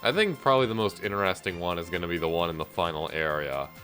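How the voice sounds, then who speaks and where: Male let's-player, congested from a cold, low voice, rambling casual commentary, loud game music underneath. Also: fast. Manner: rambling, relaxed, lots of fillers. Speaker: gameplay commentator.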